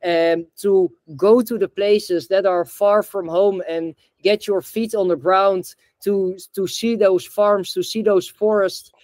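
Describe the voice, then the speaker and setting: A man's voice: high-pitched, steady tone, slow pace, hardly any pauses, darkish tone, no room sound, studio quality. A young man from the Netherlands on a video call, talking hesitantly with lots of fillers and restarts as an interview guest. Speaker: guest, bamboo reforestation founder. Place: indoor room.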